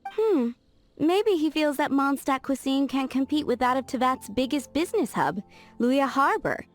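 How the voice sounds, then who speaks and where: An actress playing a proud, busy female cook in a game cutscene, brisk and blunt. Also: high voice, expressive, faint music behind. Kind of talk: video game cutscene dub.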